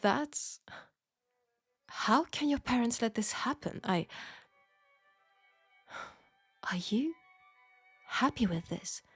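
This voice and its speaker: A woman playing a flirtatious, caring Parisian seamstress in an intimate steampunk audio roleplay, speaking with a French accent and a soft, hesitant delivery. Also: fairly high pitch, expressive, takes it slowly, slightly rough, no room sound, soft background music, exaggerated voice. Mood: curious, disbelieving. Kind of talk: intimate scripted audio roleplay addressed to the listener.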